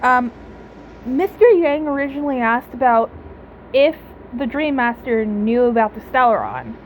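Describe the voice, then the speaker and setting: A woman giving casual reaction commentary on a video game, halting as she thinks aloud. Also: fairly high pitch, big pitch swings, rough recording. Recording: background noise under commentary.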